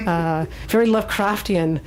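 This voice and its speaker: A woman's voice: fairly low pitch, moderate pace, rough recording. A woman presenting a radio show in a casual, unscripted style. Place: radio studio.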